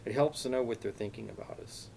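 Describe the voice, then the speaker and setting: A man's voice: fairly low pitch, fast talker, creaky, dark tone, a little hiss or hum. A man voicing a blunt, indignant young woman standing up for her friend.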